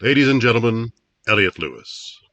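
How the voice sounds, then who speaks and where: A man's voice: mid-range voice, animated, moderate pace, dry recording, dull audio, natural voice. A man playing a formal 1950s radio announcer, grand and stately in delivery. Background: loud music.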